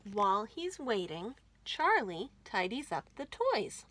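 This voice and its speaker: Bright, lively woman reading to children. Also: medium pitch, slow pace. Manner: expressive, enunciated, addressing children directly. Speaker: children's library storytime host. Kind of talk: animated read-aloud storytelling for young children.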